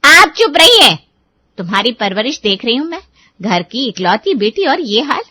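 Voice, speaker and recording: A woman voicing a stern, nagging Indian mother in an animated moral story. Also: fairly high pitch, normal speed, dry recording, heavily acted. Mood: indignant, scolding. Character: strict, scolding Indian mother of a grown daughter.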